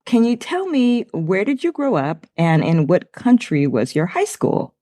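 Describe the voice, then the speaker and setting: A woman's voice: mid-range voice, big pitch swings, a little slow, mellow, no room sound, clean studio sound. A woman hosting a podcast, interviewing a guest in an upbeat, encouraging way. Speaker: podcast host.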